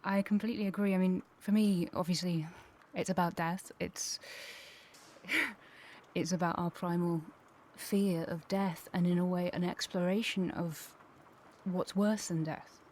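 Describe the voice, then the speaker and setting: A woman's voice: lowish voice, fairly even intonation, measured pace, darkish tone, slight background noise. A woman speaking reflectively as a panel guest, building her thought up in repeated phrases. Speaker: interview guest. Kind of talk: conversational, reflective panel discussion.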